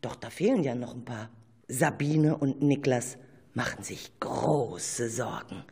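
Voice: medium pitch, a little slow, a little mellow, breathy edge, long reverb tail. A narrator telling a children's radio play with a dramatic storytelling delivery.

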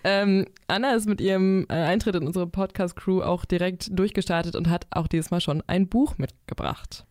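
A woman's voice: fairly low pitch, fairly even intonation, moderate pace, hardly any pauses, a little mellow, no echo, clean studio sound. A 23-year-old woman hosting a book podcast, moderating playfully and steering the discussion. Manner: playful, guides the talk. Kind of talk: casual moderating.

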